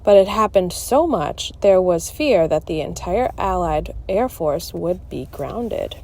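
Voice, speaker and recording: A woman's voice: on the low side, expressive, slow, warm tone, full character voice. A woman voicing a genial scientist father in a storybook, explaining things without hurry.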